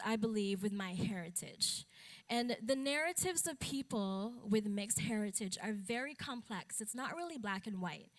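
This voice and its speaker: A young adult woman from the Philippines giving a conference talk as a speaker and advocate, candid and matter-of-fact with wry humor. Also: medium pitch. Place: stage at a talk event.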